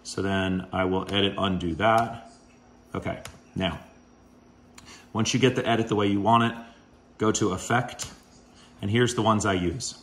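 A man in his forties, a podcast host, walking through a casual how-to at home with a low voice and a relaxed, rambling manner full of fillers. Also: a little slow, long pauses, slight background noise. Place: home kitchen, indoors.

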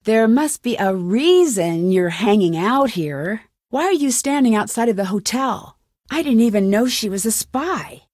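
Young American female sleuth for a game: determined, clearly spoken, higher-pitched, dry studio sound. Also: animated, measured pace, a little mellow, no room sound. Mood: insistent, probing. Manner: assertive, questioning, clearly enunciated. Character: determined young female amateur detective, plucky and probing. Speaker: game protagonist. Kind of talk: video game voice acting.

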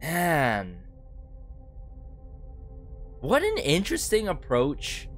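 A man's voice: on the high side, huge pitch swings, extremely slow. A young man in his twenties hosting a casual music video reaction from a home setup, chatty and full of rhetorical asides. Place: bedroom home studio, indoors. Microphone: headset headphones with a desk boom mic. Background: song playing faintly.

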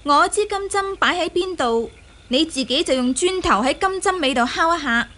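A woman's voice: high voice, lively intonation, normal speed, fairly bright tone. A woman playing a sly, commanding young woman in a Hong Kong Cantonese radio drama, imperious, teasing and playfully scornful. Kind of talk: 1980s radio drama acting.

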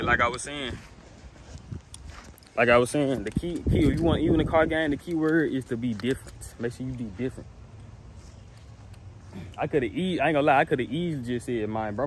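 Young man, 19, unscripted car vlog, rambly and repetitive, phone mic outdoors with steady background noise. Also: mid-range voice. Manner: rambling, repeats himself, lots of restarts. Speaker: car vlogger. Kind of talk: casual vlog to camera. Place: outdoors in a driveway beside a car. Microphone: phone held at arm's length.

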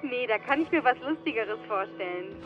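A high-voiced young-sounding woman an actress plays a cheerful, teasing daughter. She sounds dull, as if on a phone, with faint music in this radio play. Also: animated, moderate pace, close to an everyday voice. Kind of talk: crime radio play dialogue. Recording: dull, band-limited phone call sound.

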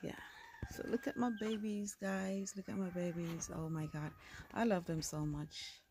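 Slow, low-pitched woman vlogging. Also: steady tone, mellow. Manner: unhurried, informal. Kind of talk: casual vlog narration. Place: chicken coop at home. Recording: noisy handheld phone audio. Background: chirping chicks.

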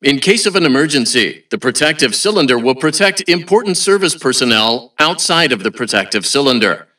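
An automated announcer for an entertainment venue in a video game. The voice is bright and punchy, mid-pitched, with a chirpy, scripted corporate polish. It is blandly cheerful throughout and sounds set back from the mic, like a public address system. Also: male voice, big pitch swings, brisk, distant mic. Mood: instructive, upbeat.

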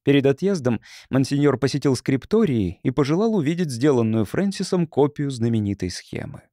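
A man's voice: medium pitch, lively intonation, fairly quick, mellow, no echo, studio quality. A man reading literary prose as a scripted audiobook narrator.

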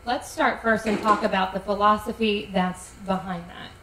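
A woman hosting a panel, giving brisk, short cues in a large tent. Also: mid-range voice, steady tone, bright, forceful tone. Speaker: host and moderator. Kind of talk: panel moderation. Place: large tent at an outdoor event. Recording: roomy sound from some distance, faint noise.

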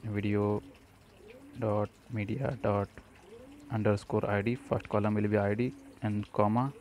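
A man presenting a step-by-step programming tutorial, speaking haltingly in short fragments. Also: lowish voice, flat delivery, a little mellow. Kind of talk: step-by-step screencast tutorial narration.

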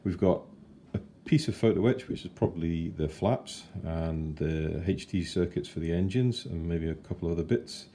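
A man in his forties giving a chatty, unhurried model kit review at his kitchen table. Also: deep voice, mellow, slight background noise. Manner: chatty and unhurried, with fillers and self-corrections. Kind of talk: casual unboxing review.

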